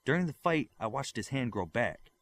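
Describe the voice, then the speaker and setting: An earnest, blunt young male superhero talks in an audiobook adventure, acted out by a male reader. His lines swing noticeably in pitch and come across plainly and directly. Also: sing-song, normal speed, fairly bright tone. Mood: matter-of-fact.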